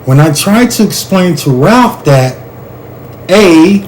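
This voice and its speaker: A man reading a children's chapter book aloud in an animated, expressive way, speaking directly to the listener. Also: high-pitched, slow pace, a little hiss or hum.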